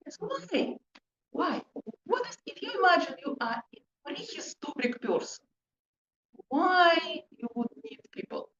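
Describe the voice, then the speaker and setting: Female workshop presenter, non-native English, very lively intonation, unhurried emphatic phrasing, muffled noisy recording. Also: very high voice. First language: not English. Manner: emphatic, questioning, short deliberate phrases. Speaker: presenter, hypnotherapist. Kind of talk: interactive talk to a group. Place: room with an audience, indoors. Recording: echoey, muffled room recording with background noise.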